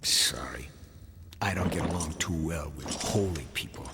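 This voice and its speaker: An actor playing a grim, brooding lone warrior in a video game, terse, with a gruff breathy murmur. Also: male voice, rumbling low, normal speed, noisy background. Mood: sullen. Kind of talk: dramatic video game voice acting.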